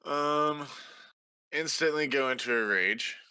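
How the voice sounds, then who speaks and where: Guy playing a tabletop game, casual, slow talking, super crisp clear voice. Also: fairly high pitch, pushed and bright, no echo.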